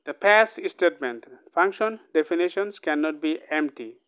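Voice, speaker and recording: A man's voice: on the high side, expressive, moderate pace, a bit bright, clean tone, lo-fi sound. A man teaching a programming lesson, reading code aloud line by line and often checking in with "okay?". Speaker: programming tutor. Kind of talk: casual screen-recorded coding lesson.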